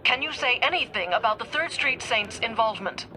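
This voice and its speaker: Game casting: actress as polished female field reporter, fairly high voice, formal delivery, clear crowd background. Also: lively intonation, fairly quick, natural voice. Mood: brisk, probing. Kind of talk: news report in a video game. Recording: busy crowd behind reporter.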